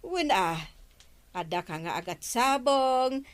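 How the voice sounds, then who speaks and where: No-nonsense grocery lady from the village council, high lively voice, ilocano filipino accent, comedy drama. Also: slow. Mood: proud, brisk. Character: brisk, no-nonsense female village councillor running a grocery store. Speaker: radio drama actress. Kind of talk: broad radio comedy acting.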